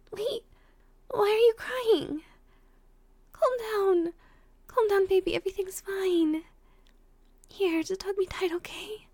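A woman playing a tender, emotional young girlfriend in an intimate roleplay audio drama, speaking softly and breathily, often in whispers, with affection. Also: extremely high pitch, dry recording. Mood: startled, concerned, then tearful, soothing.

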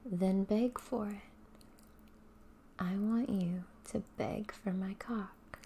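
A woman speaking straight to the listener in a soft, breathy, often whispered voice, dominant and teasing in an intimate audio roleplay. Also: mid-range voice, fairly even intonation, takes it slowly, a little hiss or hum.

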